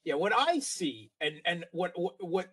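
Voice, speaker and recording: A man's voice: high-pitched, big pitch swings, slow, a bit bright, breathy edge. A man giving opinionated political commentary as an interview guest, often checking for agreement. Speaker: geopolitical analyst, interview guest.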